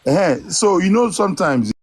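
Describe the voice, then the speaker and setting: A man's voice: sits high, big pitch swings, normal speed, crowd noise. A man speaking hesitantly in a live-stream discussion, asking questions and stumbling over his words.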